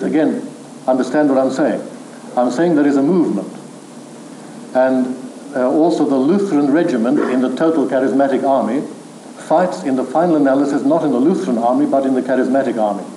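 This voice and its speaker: A man lectures in a mellow, dark voice, speaking in a measured, firm way. The sound is echoey with a faint hiss behind him, as in a hall recording. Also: medium pitch. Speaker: theology lecturer. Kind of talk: lecture with questions from the floor.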